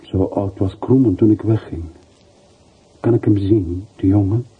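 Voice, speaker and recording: Tired regretful middle-aged ex-soldier, really husky, halting, thin tinny phone line, old radio drama. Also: male voice, fairly low pitch, steady tone. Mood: sombre. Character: weary, regretful middle-aged former soldier, long estranged. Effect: telephone voice filter. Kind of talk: radio play dialogue.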